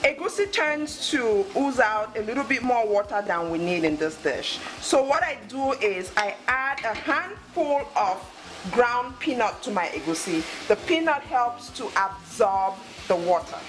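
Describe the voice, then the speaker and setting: A woman's voice: fairly high pitch, sing-song, a little slow, noisy background. A woman hosting a cooking show, giving step-by-step instructions in short phrases. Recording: music under the voice.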